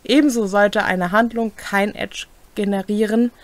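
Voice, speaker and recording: A woman's voice: mid-range voice, fairly even intonation, measured pace, no room sound. A young adult woman co-hosting a rules explainer in a scripted presenting style. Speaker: co-host of a tabletop game rules series. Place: small cluttered home room, indoors.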